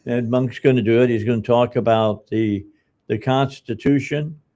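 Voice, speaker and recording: A man's voice: medium pitch, steady tone, moderate pace, warm tone. A man in his seventies hosting a radio talk show, chatting casually and rambling with lots of restarts and fillers. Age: 70s. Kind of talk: casual on-air chat.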